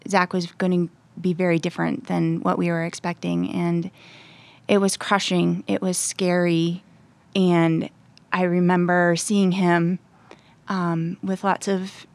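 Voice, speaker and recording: A woman shares a personal account in an interview. Her voice is on the low side with noticeable creak, and fairly even in melody. She halts between short phrases. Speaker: interview guest. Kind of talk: personal testimony.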